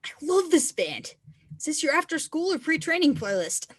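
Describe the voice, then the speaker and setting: A woman's voice: sits high, big pitch swings, moderate pace, no room sound, clean studio sound. A woman reading an encouraging, self-reliant non-binary teenage snowboarder at a screenplay table read. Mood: upbeat.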